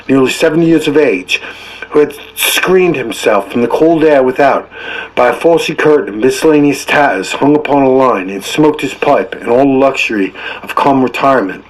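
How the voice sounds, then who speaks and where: Casting: male audiobook narrator, mid-pitched, measured delivery with dramatic weight for classic fiction. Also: poor audio. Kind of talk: scripted literary narration.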